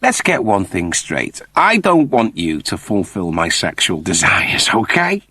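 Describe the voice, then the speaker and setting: Man playing a blunt, cheeky, husky prisoner. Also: deep voice, huge pitch swings, a little slow, bright, forceful tone, full character voice. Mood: casual. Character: laid-back, stubborn male prisoner, blunt and streetwise.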